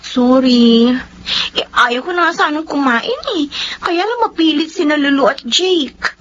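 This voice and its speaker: A woman's voice: high-pitched, expressive, a little slow, fairly bright tone. A woman playing a shy, modest, dutiful young woman in a Filipino radio drama, with a Filipino accent. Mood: apologetic. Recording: dull, slightly noisy lo-fi recording.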